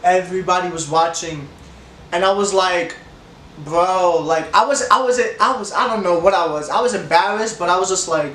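A young man with a stuffy nose, telling a rambling casual story time to camera, animated and laughing often. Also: high voice, moderate pace, slight background noise. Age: young adult. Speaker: vlogger. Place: room with leather couch, indoors. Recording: echoey room, camera a bit far.

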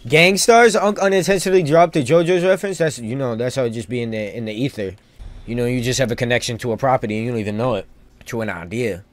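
A man streaming a video game with casual live commentary, rambling and thinking out loud in loose slang. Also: medium pitch, quick pace.

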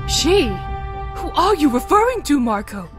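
Woman acting an excitable, swooping mad female scientist. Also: high-pitched, measured pace. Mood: curious, intent. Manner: excitable, theatrical, swooping delivery. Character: manic, obsessively curious female military scientist. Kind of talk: amateur audio drama acting. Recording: dramatic music under the voice.

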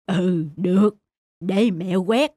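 An actress playing a kindly, frail old grandmother in a cartoon, speaking gently and without hurry. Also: lowish voice, takes it slowly, no room sound. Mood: gentle, doting. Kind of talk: animated moral-tale dubbing. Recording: loud music under the voice.

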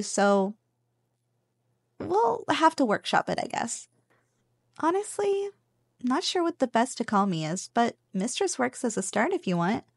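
A woman playing a playful, possessive but affectionate slime girl roommate in an ASMR roleplay, speaking softly and breathily with a teasing manner. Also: on the high side, gravelly, dry recording, studio quality, heavily acted. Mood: affectionate, casual. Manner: playful, teasing, slow and savoring.

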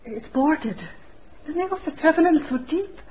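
Casting: earnest young woman, lightly high voice, muffled radio drama sound. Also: expressive, moderate pace. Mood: anxious. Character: earnest young niece of a domineering uncle. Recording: dull radio drama, faint noise.

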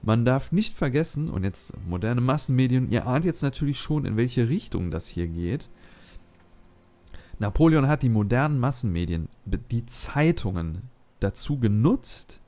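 Mellow-voiced man rambling over a game. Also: medium pitch, lively intonation, normal speed. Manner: rambling, thinks aloud with many fillers. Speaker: let's play host. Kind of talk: casual gameplay commentary.

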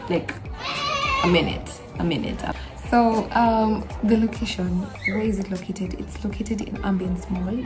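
A young woman with a Kenyan accent, chatting casually to her phone camera from a small bedroom as she reviews a café. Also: mid-range voice. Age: young adult. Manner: chatty, animated hand gestures, emphatic asides. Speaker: vlogger.

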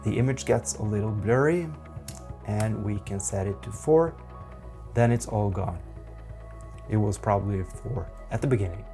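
Man, Norwegian accent, 40s, low voice, slow and halting, software tutorial, faint music behind. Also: expressive. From Norway. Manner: slow, methodical, halting explanations. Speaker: photography instructor.

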